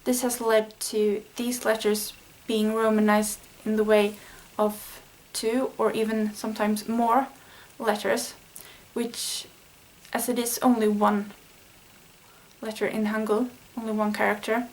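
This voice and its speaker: Swedish woman, earnest and even-toned. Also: medium pitch, unhurried, poor audio. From Sweden. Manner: earnest, thinking aloud with small fillers. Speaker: language learning vlogger. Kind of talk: casual explanatory vlog lesson.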